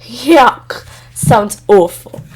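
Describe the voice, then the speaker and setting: Woman playing a high-voiced, messy freeloading witch. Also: expressive, very slow, husky, full character voice. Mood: disgusted. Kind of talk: children's storybook character voice.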